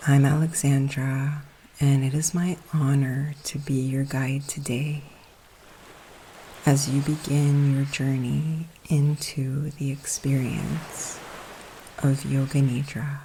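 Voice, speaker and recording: A woman guiding a relaxation meditation in a soothing, hushed, unhurried manner, with long pauses between phrases. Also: a bass voice, rough recording. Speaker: guided meditation coach. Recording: steady ocean surf noise behind. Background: sea waves.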